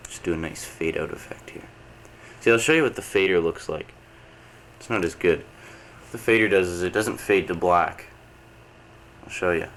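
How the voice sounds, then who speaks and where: Man with a deep voice and a cold, talking loosely to his home camcorder, heavy tape hiss under him. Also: normal speed, long pauses, fairly bright tone. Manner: rambling, lots of uh and restarts. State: coming down with a cold. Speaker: video letter host. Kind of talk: casual rambling chat to camera. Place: indoor home program room. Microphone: camcorder mic. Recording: noisy early-90s camcorder tape.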